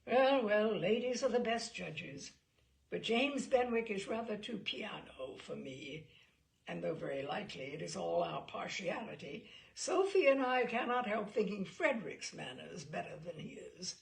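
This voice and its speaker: A woman's voice: medium pitch, lively intonation, a little slow, vocal fry. A woman voicing a bluff, hearty, chatty older male admiral in an audiobook, blustery and rambling with emphatic delivery. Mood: bluff, frank. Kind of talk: audiobook character dialogue.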